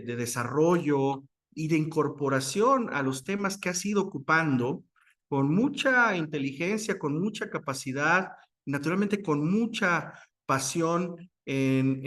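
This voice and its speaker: Courteous, formal Mexican man hosting. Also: a little high. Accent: Mexican Spanish. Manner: courteous and ceremonious, with warm formal address. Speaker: interview host. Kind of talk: formal interview, reading a guest introduction.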